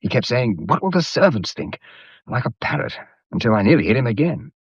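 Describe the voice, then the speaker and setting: An actor playing a wry, gallant gentleman in a radio drama, husky and understated, with an offhand, clipped way of talking. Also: medium pitch, big pitch swings, a little fast, no echo, muffled recording. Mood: wry, rueful.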